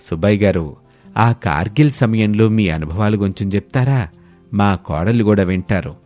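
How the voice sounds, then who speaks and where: A man's voice: on the low side, moderate pace, a little mellow, faint music behind, natural voice. A man voicing a courteous, proud family patriarch with a polite, formal manner in a moral story. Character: courteous, proud male head of a joint family.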